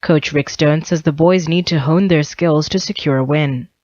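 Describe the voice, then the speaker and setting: A woman's voice: sits low, moderate pace, a little mellow, highs cut off, slight background noise. A woman reading a scripted radio news bulletin as the newsreader. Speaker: news reader. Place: radio studio.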